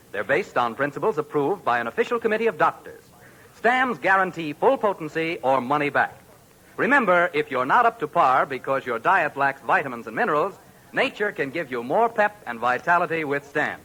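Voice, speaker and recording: A man's voice: fairly high pitch, pushed and bright, low-quality recording. A man reading a 1940s radio advertisement as announcer, with a polished, emphatic sales delivery. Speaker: commercial announcer.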